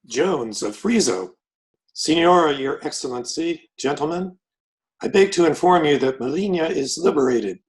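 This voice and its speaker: A man's voice: a little high, animated, moderate pace, close to an everyday voice, rough recording. A man playing a dapper, formal American company envoy in an old-time radio comedy, courteous and ambassadorial. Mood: grandiose.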